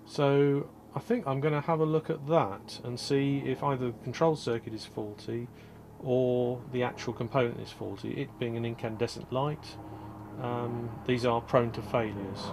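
Calm gravelly man explaining repairs. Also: medium pitch, background noise. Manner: calm, methodical, many ums and uhs. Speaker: electronics restorer. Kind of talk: technical repair walkthrough. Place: workshop bench.

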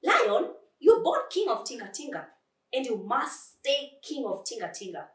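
A woman's voice: high voice, very animated, a little slow, fairly bright tone, reverberant, recorded from a distance, pitched above the performer's own voice, heavily acted. A woman voicing a tiny, loyal flea who pleads and encourages in a storybook tale. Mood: encouraging. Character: tiny, earnest flea, loyal urging friend.

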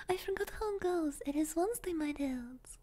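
Woman, high-pitched, soft breathy whisper, mellow, playful, close-mic ASMR chat. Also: lively intonation, measured pace, a little hiss or hum. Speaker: ASMR live streamer. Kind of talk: whispered ASMR, chatting with viewers. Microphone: close microphone, Blue Yeti.

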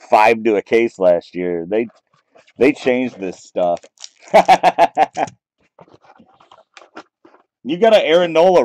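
A man in casual commentary, reading out cards as he opens packs at a table, relaxed and chatty, picked up by a camera mic. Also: medium pitch. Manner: relaxed, chatty, reading out names and teams as he goes. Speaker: card break host. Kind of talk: casual commentary while opening trading card packs. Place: small room at a table, off camera.

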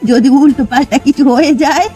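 A woman's voice: high voice, quick pace, mellow, natural voice. A woman voicing a homely old village mother in a fairy-tale cartoon, stubborn and doting, exclaiming and fretting as she talks. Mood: worried. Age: older. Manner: homely, exclaiming, fretful rustic talk. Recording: music behind the voice.

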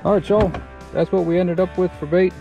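A man's voice: sits high, fairly quick, vocal fry, highs cut off, soft background music. A man, chatting casually through a fishing vlog outdoors on a chest-mounted action camera. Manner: relaxed drawl, chatty, says y'all. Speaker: fishing vlogger. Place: outdoors by a pond and river bank.

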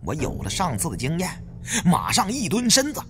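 A man reading dramatic first-person audiobook narration with an expressive storyteller's delivery. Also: fairly high pitch, a little fast.

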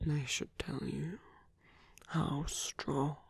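A man playing a smooth, seductive villain in an ASMR roleplay, teasing and coaxing in a breathy voice that often drops into a whisper. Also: fairly high pitch, little pitch movement, no room sound, full character voice. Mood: tender, coaxing. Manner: slow, teasing, coaxing, with long pauses. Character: smooth seductive male villain tempting a captive hero.

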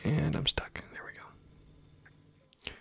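A man whispering ASMR game commentary close to the mic, breathy and muttering dryly to himself. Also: drawn-out words, bright, forceful tone. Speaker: gaming video creator.

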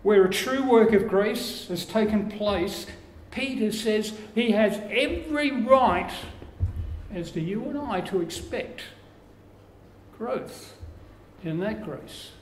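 An older man with an Australian accent preaching a sermon, slow and measured, his phrases falling away at the ends. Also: high-pitched, lively intonation. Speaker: pastor. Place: church room with curtains. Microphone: lapel mic. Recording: echoey church room, faint background hiss.

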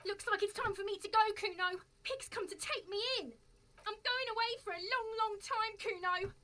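A woman's voice: very high voice, moderate pace, sharp tone. A woman voicing a foul-mouthed young street girl in a game, defiant, taunting and melodramatic. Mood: mock-doomed.

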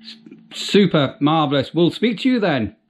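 High-pitched deadpan British man, middle-aged. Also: moderate pace. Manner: deadpan, dry humour. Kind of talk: casual prank phone chat. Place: small domestic room. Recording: slightly dull, lo-fi home recording.

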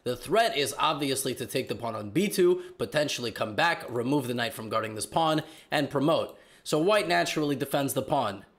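A young man with a slightly hoarse voice from being sick, giving animated, joking commentary on chess games in a small room. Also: mid-range voice, fairly quick, hardly any pauses. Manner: animated, joking, hesitant fillers. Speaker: chess video host.

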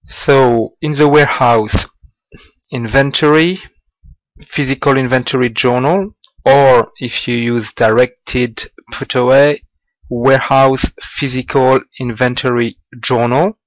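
A man giving a slow, halting walkthrough of business software over a screen recording, with small grammar slips. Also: mid-range voice, fairly even intonation, no echo, low-quality recording. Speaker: software demonstrator.

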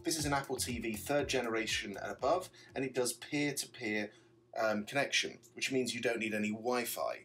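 A man's voice: lowish voice, a bit bright. A man giving an explanatory product demonstration, walking through a setup step by step. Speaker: tutorial presenter, stage magician.

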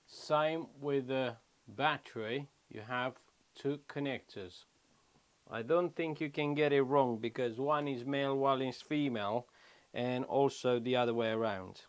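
Slow-paced man giving a casual walkthrough. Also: mid-range voice. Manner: step-by-step explaining in short phrases. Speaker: motorcycle vlogger. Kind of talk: casual hands-on product walkthrough. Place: small wooden shed or garage room.

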